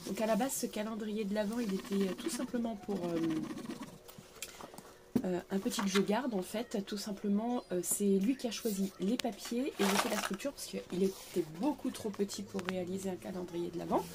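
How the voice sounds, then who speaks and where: A middle-aged woman with a cold talks through a craft tutorial in her living room, filling gaps with little set phrases. Her voice is gravelly and stuffy, and there is steady noise behind her on the recording. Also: mid-range voice, normal speed. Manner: chatty, repeats "tout simplement" and "voilà", many fillers. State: congested with a cold. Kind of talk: casual craft tutorial narration.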